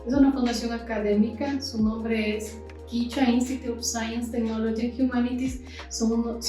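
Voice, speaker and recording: A woman's voice: a little high, dark tone. Kichwa native woman guest, careful formal intro, roomy echo n faint music. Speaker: guest, systems engineer. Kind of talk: self-introduction. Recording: echoey room, distant mic, faint music.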